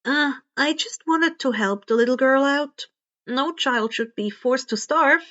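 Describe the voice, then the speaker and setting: A woman's voice: high-pitched, a little slow, fairly bright tone. A woman voicing a cocky but kind teenage boy vigilante in a read-aloud story, casual and flippant, in a muffled recording. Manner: flippant, matter-of-fact, casual. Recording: dull, muffled lo-fi home recording.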